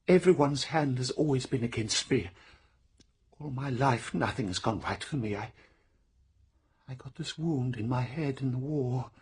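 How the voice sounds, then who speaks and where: A man's voice: medium pitch, a little mellow, slightly rough. A man playing a troubled, timid war veteran in a radio drama, speaking hesitantly with stammered repeats.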